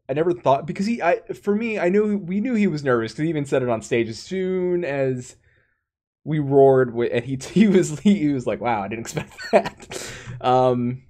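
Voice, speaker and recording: A man's voice: fairly high pitch, very animated, moderate pace, dark tone. A man co-hosting a casual gaming podcast, who talks in stop-start bursts, restarts his sentences and laughs often. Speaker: podcast co-host.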